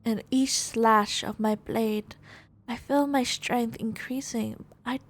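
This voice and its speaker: A woman gaming streamer doing casual let's play commentary, reading dialogue aloud with short, unhurried reactions. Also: a little high, steady tone.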